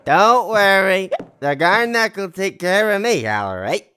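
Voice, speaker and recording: A man's voice: high-pitched, very animated, a little slow, music in the background, full character voice. A man playing a chummy, cocky drunk brother in an audio drama, with a drawling, slurred swagger. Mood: drunken glee.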